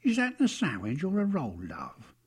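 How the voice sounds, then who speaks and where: A man's voice: fairly high pitch, wildly swooping pitch, normal speed, slightly rough, full character voice. A man voicing a kindly, chatty café lady in a story, with a familiar, homely manner. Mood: kindly, brisk. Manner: familiar, calls the customer love.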